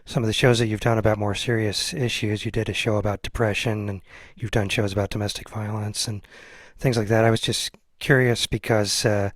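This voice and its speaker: A man hosts a casual podcast interview. His voice is fairly low, and he feels his way through questions tentatively. The recording is dry, with very little room sound. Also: flat delivery, brisk, dry recording.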